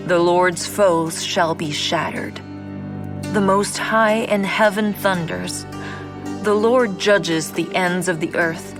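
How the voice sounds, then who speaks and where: A devout young mother speaks her prayer with a low, slightly gravelly voice. She recites slowly and solemnly, every line measured. Soft music plays underneath the studio recording. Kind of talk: audio drama scripture reading.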